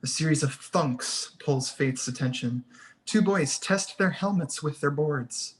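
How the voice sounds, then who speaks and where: A woman's voice: low-pitched, unhurried. A woman reading screenplay stage directions as the narrator of a table read.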